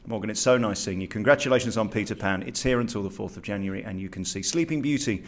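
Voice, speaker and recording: A man's voice: fairly low pitch, fast talker. A man interviewing in an enthusiastic, effusive and emphatic way about theatre.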